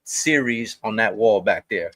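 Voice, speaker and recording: A man's voice: fairly low pitch, measured pace, fairly bright tone, no room sound. A man being interviewed on a video call, laid-back and easygoing, often repeating himself and laughing. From New York state. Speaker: guest. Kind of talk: casual interview chat.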